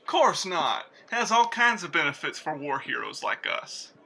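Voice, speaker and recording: A man's voice: a little high, very animated, normal speed, gravelly, bright, forceful tone, heavily acted. A man playing a brash, shifty young war veteran in old-time radio sketch comedy, eager and defensive. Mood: eager, boastful.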